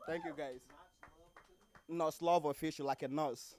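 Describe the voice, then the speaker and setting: A man's voice: fairly high pitch, fairly even intonation, measured pace, darkish tone, slight background noise. A man doing a crude stand-up set, often laughing at his own jokes. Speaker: stand-up comedian. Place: comedy club stage.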